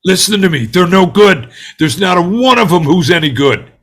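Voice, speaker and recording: A man performs an angry, prejudiced juror in a stage drama read-through. He rants in blunt colloquial speech, hectoring and insistent, with a desperate edge. The voice sits slightly high for a man. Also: lively intonation, normal speed, a bit bright. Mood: agitated, insistent. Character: angry bigoted male juror ranting, growing desperate.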